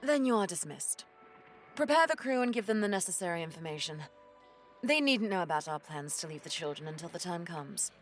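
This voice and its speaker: Actress as female pirate captain, stern, sardonic, commanding, animated pitch, music underneath, audio drama. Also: fairly quick, close to an everyday voice. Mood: stern, exasperated. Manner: commanding, dryly sarcastic.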